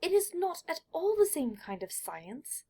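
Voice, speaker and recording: A woman voicing a precocious, indignant young boy with a pedantic manner that turns shrill when annoyed, in an audiobook story. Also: big pitch swings, unhurried, dark tone, exaggerated voice.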